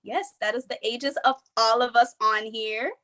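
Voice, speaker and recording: Woman around twenty, higher-pitched, casual talk, group call panelist. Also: fairly even intonation, normal speed, bright, forceful tone.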